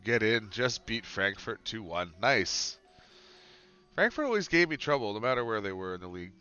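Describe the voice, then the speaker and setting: A man with a stuffy, cold-clogged voice, casually commenting as he plays a football management game, musing and then breaking into sudden excited reactions. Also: fairly low pitch, moderate pace, clean tone, muffled recording, soft background music. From Canada.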